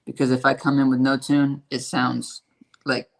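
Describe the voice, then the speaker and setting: A man with a raspy, hoarse voice, talking about his music in a casual interview and rambling with lots of fillers and swearing. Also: medium pitch, completely flat delivery, moderate pace. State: hoarse from a strained voice. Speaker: independent musician.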